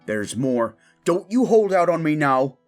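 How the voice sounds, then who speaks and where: A man playing a protective, devoted young commoner guarding royalty in a fantasy audio drama, gruff and intense. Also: fairly high pitch, sing-song, unhurried, faint music behind. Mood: concerned. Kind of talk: fantasy audio roleplay acting.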